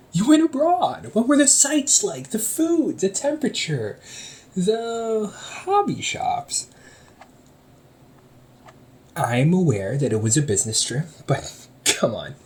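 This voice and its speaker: A man's voice: on the high side, a little mellow, full character voice. A man playing a teasing, easygoing older brother. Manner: chatty, teasing, laughs often. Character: easygoing young man, older brother running a hobby shop. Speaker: audio roleplay performer. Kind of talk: one-sided audio roleplay to the listener.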